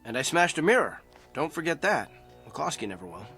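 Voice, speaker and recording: Sharp-voiced, bitter, troubled patient. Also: male voice, fairly high pitch, very animated, brisk, soft background music, full character voice. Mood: sardonic, defensive. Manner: wry, curt, defensive. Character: bitter, sardonic, troubled male patient, a company man. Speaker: actor in a radio drama. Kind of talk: audio drama acting.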